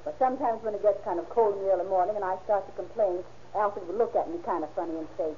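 A woman's voice: medium pitch, quick pace, slight background noise, natural voice. A woman playing a cheerful, folksy, chatty neighbor in a 1930s radio drama, on an old muffled recording. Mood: friendly, chatty. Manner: folksy, sociable.

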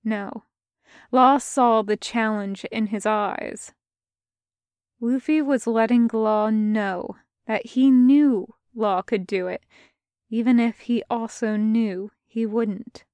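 Young woman, storyteller, soft, measured, slightly high, dry close recording. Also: steady tone, no room sound. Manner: soft, measured, hushed in tender passages. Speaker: podfic reader. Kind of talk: slow, gentle fan fiction narration.

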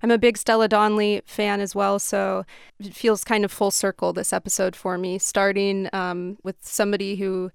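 A woman chatting casually on the radio, with hesitant, measured phrasing. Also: mid-range voice, little pitch movement, gravelly, clear, resonant tone, no room sound, clean studio sound. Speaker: music show panelist.

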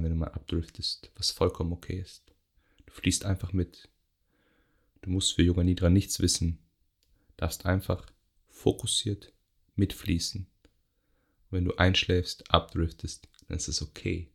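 A man guiding a yoga nidra meditation in a gentle, unhurried way, pausing between short phrases. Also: deep voice, little pitch movement, gravelly, husky. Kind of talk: guided meditation, soothing relaxation instruction.

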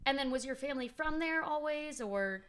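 Bubbly young host from Boston, slightly high voice, laughs a lot. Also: female voice, expressive, a bit bright. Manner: bubbly and laughing, quick reactions. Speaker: podcast host. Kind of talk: casual interview chat.

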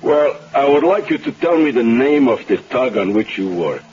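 A man's voice: medium pitch, sing-song, normal speed, darkish tone, lightly acted. Man playing proud barge captain, old radio. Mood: indignant. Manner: formal, earnest, non-native English grammar. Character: proud, law-abiding foreign barge captain, wronged husband. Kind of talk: 1970s radio drama acting. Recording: muffled, faintly noisy vintage radio recording.

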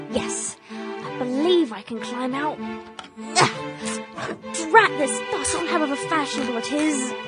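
An actress playing a spirited, proper young Victorian lady heroine in a radio melodrama, with indignant urgency. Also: high voice. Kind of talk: period radio drama acting.

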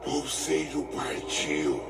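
A man playing a menacing inhuman dark rider in an audiobook, speaking in a slow, drawn-out way. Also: medium pitch, very animated, vocal fry, exaggerated voice. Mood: slow menace. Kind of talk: dramatised audiobook. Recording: loud music over voice.

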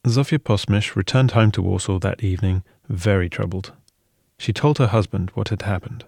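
A man's voice: on the low side, normal speed, gravelly, a little mellow, husky, no room sound, studio quality. A man co-hosting a story podcast, reading scripted documentary narration. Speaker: podcast co-host.

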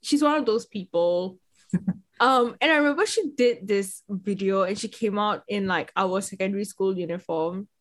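A woman's voice: mid-range voice, normal speed. A young woman from Singapore, hosting a podcast in casual, giggly chat full of fillers. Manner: chatty, laughs often, lots of "like" fillers. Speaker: podcast host.

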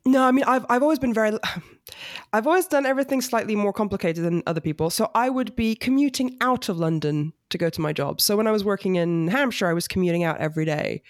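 A woman's voice: medium pitch, quick pace, no room sound, studio quality. A woman in her thirties from France, chatting casually as an interview guest, with many fillers and easy laughter. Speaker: family lawyer, podcast guest.

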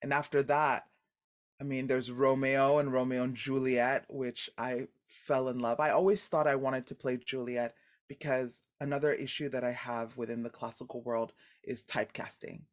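A woman with a deep voice, a guest actor in a casual podcast interview, telling stories in an animated, expressive way and laughing often. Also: moderate pace.

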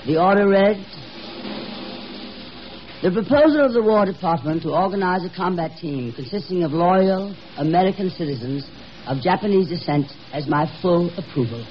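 Male 1950s announcer, fairly high voice, solemn declamatory narration, muffled broadcast. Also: animated, moderate pace. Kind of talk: 1950s radio scripted narration. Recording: muffled, noisy old 1950s radio broadcast.

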